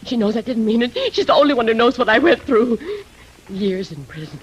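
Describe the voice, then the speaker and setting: An actress playing a vain, needy thirty-eight-year-old murder suspect coming apart, in an old 1950s radio drama. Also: female voice, on the high side, lively intonation, brisk, a crowd in the background, natural voice, poor audio. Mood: hysterical, pleading. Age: middle-aged. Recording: vintage 1950s radio recording.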